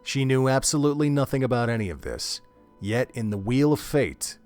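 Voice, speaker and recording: A man narrating a gruesome horror audio drama in a measured, dramatic storytelling manner. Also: mid-range voice, lively intonation, a little mellow, faint music behind.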